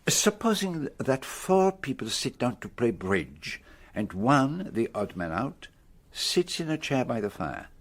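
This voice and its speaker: An actor playing an ageing, vain Belgian gentleman detective with a French-speaking Belgian accent, precise and theatrical in a radio play. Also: medium pitch, sing-song, unhurried. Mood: wry, relishing. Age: older. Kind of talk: radio drama acting.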